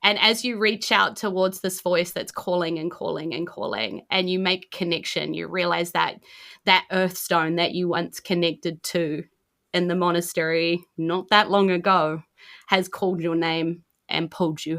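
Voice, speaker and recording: A woman's voice: fairly low pitch, steady tone, unhurried, fairly bright tone. A woman with a New Zealand accent hosting and narrating a tabletop roleplaying podcast as game master, often repeating phrases for effect.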